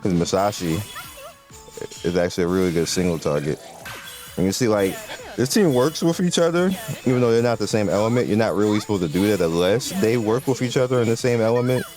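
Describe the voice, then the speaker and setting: Guy with a low voice chatting through his phone game, rambly, lots of ums, some quiet music behind. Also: a little fast. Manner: rambling, loose, with many fillers and restarts. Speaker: gaming channel host. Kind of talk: casual gameplay commentary.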